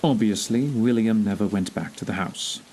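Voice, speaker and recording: A man playing a self-assured gentleman detective in audio theatre, formal, commanding and dryly witty. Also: lowish voice, normal speed, a little hiss or hum, natural voice. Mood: assured deduction. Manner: crisp, commanding, wry, formal.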